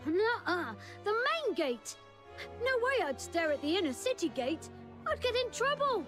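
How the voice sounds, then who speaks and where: A woman's voice: very high-pitched, very animated, a little slow, fairly bright tone, full character voice. A woman voicing a mischievous, brash young street kid in a video game, with a childlike voice. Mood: cheeky, chatty. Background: background music.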